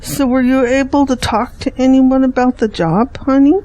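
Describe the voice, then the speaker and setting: A woman's voice: sits high, steady tone, moderate pace, warm tone, lightly acted, poor audio. An actress playing a devout, loving middle-aged mother in an audio drama. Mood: loving, content.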